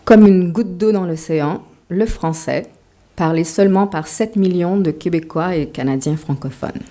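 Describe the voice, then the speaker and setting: Dark-voiced woman giving a playful lecture. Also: low voice. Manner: playful, self-mocking asides. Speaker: author giving a talk. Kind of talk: lecture with humorous asides. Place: lecture hall with audience.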